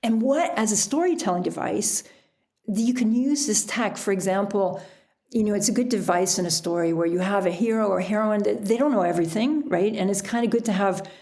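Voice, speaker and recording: Female podcast guest, mid-pitched with noticeable vocal fry, chatty and illustrative storyteller. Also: brisk, warm tone. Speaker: podcast guest, author and sailor. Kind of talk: casual interview talk.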